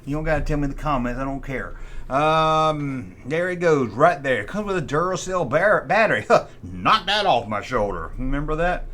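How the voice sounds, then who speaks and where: Man, toy show host, bright forceful voice, lively swings, informal nostalgic rambling, repetitive phrasing. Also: a little high, moderate pace. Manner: chatty, informal, often repeats himself. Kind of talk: rambling nostalgic commentary on collectible toys.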